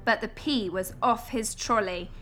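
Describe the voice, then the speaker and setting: A woman reading a children's storybook as an expressive storyteller. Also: medium pitch, measured pace. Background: music.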